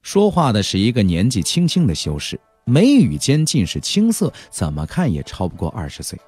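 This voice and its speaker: A man's voice: on the low side, huge pitch swings, moderate pace, darkish tone, slightly rough, no room sound. Dramatic, swooping male audiobook narrator. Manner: theatrical, storyteller-like delivery with dramatic emphasis. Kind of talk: dramatic scripted narration of a fantasy novel. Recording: narration over faint background music.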